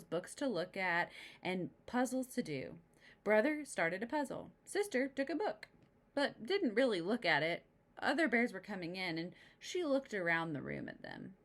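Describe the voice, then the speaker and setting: A woman reading a picture book to young children, pausing to explain the pictures in simple, chatty asides. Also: mid-range voice. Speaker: storybook reader.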